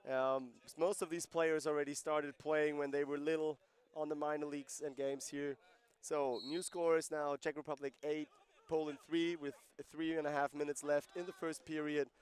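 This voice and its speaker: A man's voice: fairly high pitch, little pitch movement, normal speed, clean tone. A man giving live play-by-play commentary on a lacrosse game, conversational and explaining rules and player backgrounds between plays.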